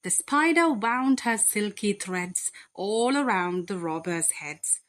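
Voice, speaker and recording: A woman reading a rhyming children's bedtime story aloud in a deliberate, expressive, dramatic way. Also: medium pitch.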